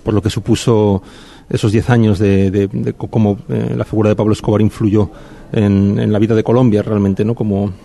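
A middle-aged man, a film director answering interview questions thoughtfully with frequent pauses and restarts. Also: fairly low pitch, level tone, gravelly, mellow. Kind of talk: festival press interview.

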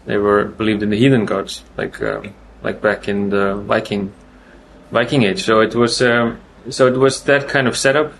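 A man from Iceland with an Icelandic accent, a film director giving festival interview answers in a halting way, searching for words and often restarting. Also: fairly low pitch, steady tone, gravelly, fairly bright tone, slight background noise.

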